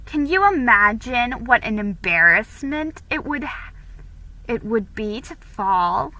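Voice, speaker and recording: A woman's voice: fairly high pitch, sing-song, slow, bright, forceful tone, heavily acted, rough recording. A woman voicing a gossipy, haughty colonial schoolgirl who prattles in a storybook reading. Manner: prattling, tittering.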